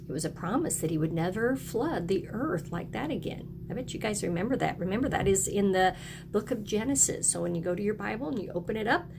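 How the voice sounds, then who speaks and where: A woman with a mid-pitched voice teaches a lesson to young children. She is encouraging, often asking questions and prompting them to repeat. Noticeable noise sits behind her voice. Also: animated, a little fast, gravelly.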